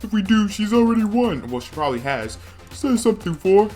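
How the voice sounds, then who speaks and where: A man acts out a British chef from a story game, pitched well up and very animated. He is theatrical and blustering, a coward putting on a brave front. Faint music plays behind him. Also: fairly quick. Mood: defiant. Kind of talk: character voices while reading a game's story aloud.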